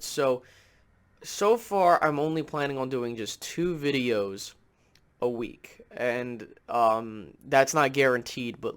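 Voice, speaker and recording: A man with a mid-pitched voice, a little raspy and stuffed up from a cold. He talks casually to his viewers as a sports channel host, loosely and hesitantly, working out his thoughts as he goes. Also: a bit bright. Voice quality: slightly raspy, nasal from a cold. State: under the weather, congested.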